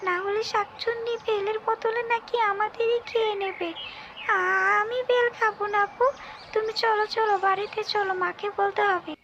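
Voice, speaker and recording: A woman's voice: very high voice, steady tone, normal speed, highs cut off, noisy background. A woman voicing a squabbling, bossy little village girl in a cartoon folk tale, whiny and insistent. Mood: frightened, urgent.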